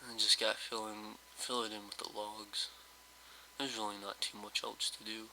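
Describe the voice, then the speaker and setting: A man with a lowish, very bright and forceful voice talks over his gameplay in a flat, monotone way. He sounds slightly hoarse, and he rambles and hesitates with plenty of fillers. A faint hiss sits under his voice. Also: moderate pace, rough recording. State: slightly hoarse, just woke up. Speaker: let's play host. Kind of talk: casual gameplay commentary.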